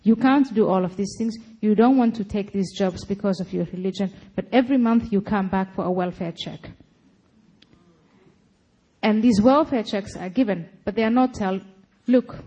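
Husky lady giving a talk, pushy and firm about it. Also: mid-range voice, normal speed, highs cut off. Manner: forceful, argumentative. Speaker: speaker at a public talk. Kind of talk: lecture and debate answering.

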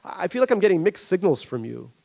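A man doing a whiny, high-voiced rich fund man. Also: sing-song, fairly quick, gravelly, mellow, heavily acted. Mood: pleading. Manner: whiny, defensive, pleading. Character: self-pitying wealthy middle-aged male fund man.

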